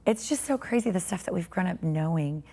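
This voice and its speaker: A woman in her forties, a podcast guest with a raspy, tired voice, talking earnestly and reflectively about faith. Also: lowish voice, animated, fairly quick, warm tone. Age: 40s.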